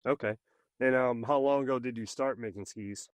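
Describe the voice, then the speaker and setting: A man hosting a podcast, asking questions in a relaxed, informal way. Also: mid-range voice, moderate pace, smooth, no room sound. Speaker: podcast host. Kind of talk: casual interview questions.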